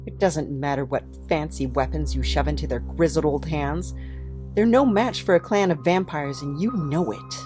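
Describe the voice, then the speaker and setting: A woman's voice: low-pitched, normal speed, dark tone, soft background music, lightly acted. A woman voicing an anxious, indignant young character in a cartoon comedy, earnest and exasperated.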